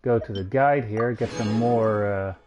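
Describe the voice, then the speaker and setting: A man's voice: fairly low pitch, a little slow, a little mellow. Casual male game streamer over game music. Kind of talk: casual play-by-play commentary. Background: video game music.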